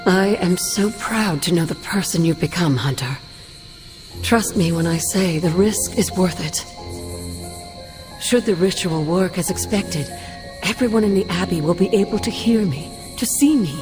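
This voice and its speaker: Actress as a low-pitched, resolute sorceress spirit mentor. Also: female voice, measured pace, lightly acted. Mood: warm, resolute. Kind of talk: video game cutscene acting. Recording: music behind the voice.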